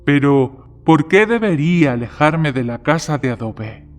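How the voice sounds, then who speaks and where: A man's voice: a little high, lively intonation, unhurried, soft background music. A man playing a curious, skeptical newcomer in a horror story, with a casual, matter-of-fact way of talking.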